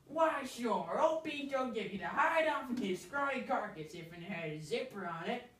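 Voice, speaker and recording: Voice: mid-range voice, animated, normal speed, fairly bright tone, reverberant, distant mic, pitched above the performer's own voice, close to an everyday voice. A performer voicing a folksy, blunt backwoods man with a rustic drawl, in a dramatic story reading. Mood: alarmed, urging. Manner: folksy drawl, rustic dropped-word speech.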